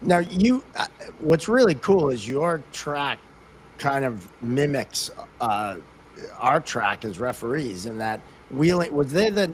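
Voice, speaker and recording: A man's voice: medium pitch, very animated, slow pace, a little hiss or hum, rough recording. A man co-hosting a podcast, asking casual questions in an informal chat. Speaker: podcast co-host, hockey referee.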